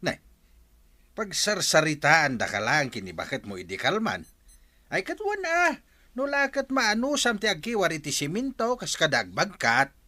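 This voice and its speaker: Jovial, bright-voiced Ilocano workman, acted by a man. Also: a little high, wildly swooping pitch, moderate pace, exaggerated voice. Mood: cheerful. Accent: Filipino, Ilocano. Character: cheerful, teasing older working man, easygoing about hardship. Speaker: actor in an audio comedy drama. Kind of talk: Ilocano comedy drama acting.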